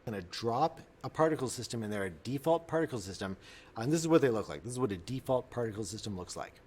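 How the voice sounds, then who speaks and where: A man giving a casual, step-by-step software tutorial in an informal, enthusiastic way, often interrupting himself as he explains. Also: mid-range voice, lively intonation, fast talker, a little hiss or hum. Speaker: instructor.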